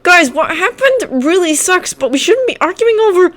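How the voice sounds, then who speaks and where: A storyteller playing a gentle, anxious teenage girl trying to stop a fight. Her voice sits far above the narrator's own, soft and begging, with a lively pitch. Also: normal speed, full character voice. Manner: timid, begging.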